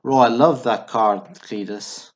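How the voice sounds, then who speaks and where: A man's voice: medium pitch, takes it slowly, close to an everyday voice. An actor playing a terse, weary, tough small-town man in a radio drama, dry and restrained. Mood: grim, menacing. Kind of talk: stage-play acting in radio drama. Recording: dull lo-fi recording.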